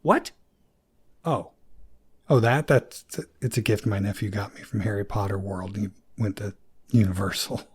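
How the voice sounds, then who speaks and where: An actor playing an earnest, awkward therapist, speaking hesitantly and clinically with a slightly husky voice in an audio drama. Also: male voice, on the low side, moderate pace, darkish tone. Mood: flustered, earnest. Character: earnest, awkward therapist, gently probing and easily flustered. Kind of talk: naturalistic audio drama dialogue.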